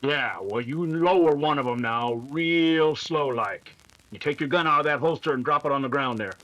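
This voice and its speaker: A man's voice: a little high, moderate pace, fairly bright tone, lo-fi sound, natural voice. An actor playing a tough, cautious outlaw henchman in an old-time radio adventure, with coarse, folksy talk. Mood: menacing.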